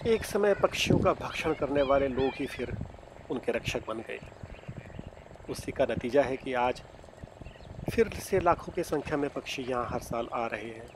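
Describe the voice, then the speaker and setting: A man giving measured, scripted documentary narration as a travel vlogger. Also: mid-range voice, animated, background noise. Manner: measured, storytelling delivery.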